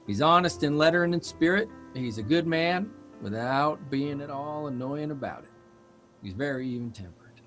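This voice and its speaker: A reflective newspaper columnist, plain and wry, slightly high voice, music under it. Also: male voice, lively intonation, moderate pace, a bit bright. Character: Ernie Pyle, plain-spoken reflective male newspaper columnist. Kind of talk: understated narration in an audio drama.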